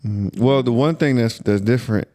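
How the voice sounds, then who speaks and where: A man's voice: medium pitch, normal speed, warm tone, no echo, studio quality. A man with an American accent, chatting casually as a podcast host in an informal, colloquial, musing way. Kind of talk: casual conversation.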